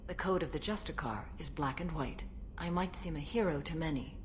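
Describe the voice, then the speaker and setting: Low-voiced, solemn alien warrior woman, played by an actress. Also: a little hiss or hum, natural voice. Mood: solemn, resolute. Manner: formal, measured, solemn. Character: ancient alien warrior woman, stern, serene, unyielding. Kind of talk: video game dialogue acting.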